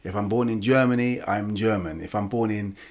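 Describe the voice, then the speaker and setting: A man born in England, co-hosting a casual discussion, talking haltingly with many fillers and restarts. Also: on the low side. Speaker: podcast co-host.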